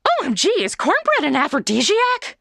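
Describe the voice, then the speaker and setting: A woman's voice: sits high, wildly swooping pitch, moderate pace, gravelly, exaggerated voice. A woman playing a sassy, boy-crazy modern young woman in a comedy audio drama, full of wisecracks. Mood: swooning.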